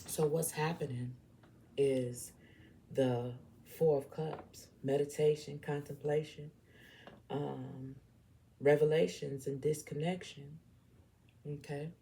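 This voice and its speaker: A woman's voice: low-pitched, steady tone, slow pace, gravelly, pauses often, mellow. A woman with a Southern US accent giving an informal tarot reading, speaking bluntly and emphatically and often repeating herself. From southern United States. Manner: emphatic, repetitive, blunt, checks in with "okay?". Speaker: tarot reader.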